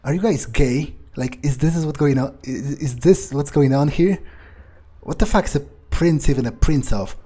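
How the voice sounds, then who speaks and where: A man's voice: a little high, normal speed, mellow, slightly rough. A man improvising in-character commentary over a game, thinking aloud hesitantly, repeating words and swearing casually. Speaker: gamer doing in-character playthrough.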